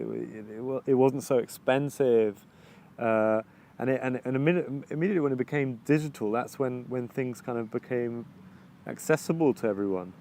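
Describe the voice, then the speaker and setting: A man with an English accent, answering interview questions in a halting, reflective way, often restarting sentences while he searches for words. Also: mid-range voice, darkish tone. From England. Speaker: videographer interviewee. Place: outdoors overlooking the ocean.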